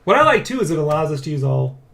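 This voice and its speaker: A man hosting a casual dev blog, talking in a rambling, excitable way and often checking for agreement. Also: fairly high pitch, racing, gravelly. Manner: rambling, keeps seeking agreement with "right?" and "you know?". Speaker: dev blog host and comic artist. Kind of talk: casual dev vlog chat, addressing viewers and co-worker.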